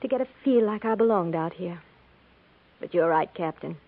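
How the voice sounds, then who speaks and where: An actress playing a shaken, plainspoken widowed frontier schoolteacher in a 1950s radio western, in a subdued, folksy manner. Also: female voice, fairly low pitch, expressive, normal speed. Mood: wistful. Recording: tinny, noisy 1950s radio recording.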